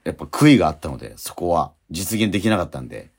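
A man's voice: deep voice, very animated, quick pace, darkish tone. A man hosting a radio show, talking casually yet politely and earnestly as he wraps up.

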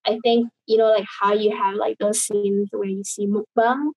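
A woman's voice: medium pitch, flat delivery, moderate pace, darkish tone, breathy edge, dry recording, low-quality recording. A woman from Indonesia, a guest talking casually over a video call, rambling with many fillers and restarts.

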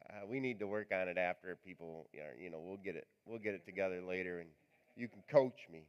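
A male pastor with a raspy voice, preaching a live church sermon in a chatty, joking, rambling way. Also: low-pitched, fast, highs cut off. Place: church sanctuary.

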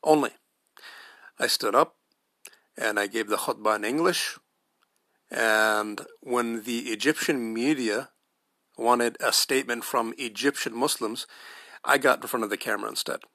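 A man's voice: mid-range voice, unhurried, long pauses, sharp tone, no room sound, studio quality. A man with an American accent giving an earnest, emphatic opinion monologue, pressing his points with rhetorical questions. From United States. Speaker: podcast host.

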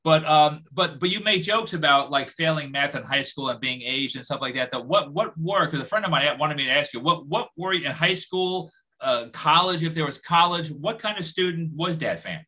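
A man's voice: fairly high pitch, moderate pace, sharp tone. A man hosting an interview, eager and rambling, stumbling over his words as he asks questions. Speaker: podcast host. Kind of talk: casual interview chat.

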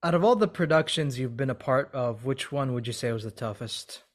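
A man's voice: mid-range voice, fairly quick. A man hosting a podcast, asking casual interview questions with amazed reactions. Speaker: podcast host.

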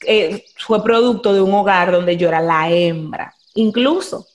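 Lively Dominican woman podcast host. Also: mid-range voice, measured pace. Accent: Dominican Spanish. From Dominican Republic. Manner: animated, mixes in English phrases. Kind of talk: casual podcast conversation.

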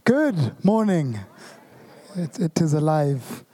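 Preacher, South African accent, hoarse worn voice, mellow, chatty sermon with jokes. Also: medium pitch, big pitch swings, slow. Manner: conversational, joking asides to the congregation. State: hoarse, losing voice.

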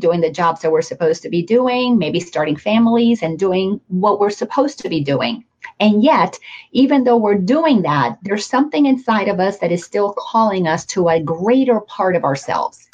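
A woman hosting a spiritual interview show over a video call, speaking slowly and earnestly with gentle enthusiasm. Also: mid-range voice, lively intonation, highs cut off. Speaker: host.

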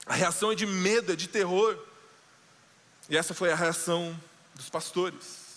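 A man preaching a sermon as pastor, slowing down and dropping low for dramatic quoted lines. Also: a bit bright, in a large hall. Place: church sanctuary.

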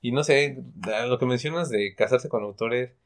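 Male podcast co-host, average pitch, halting and tentative delivery in casual discussion.

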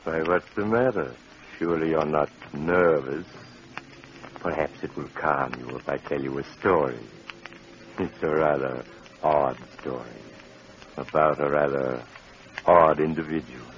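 An actor playing a sinister doctor who greets listeners and tells a strange tale in a slow, silky, ominous way, in 1940s radio drama style. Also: male voice, extremely low pitch. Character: sinister doctor host, middle-aged man, smooth and menacing. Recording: dull lo-fi old radio recording with hiss.

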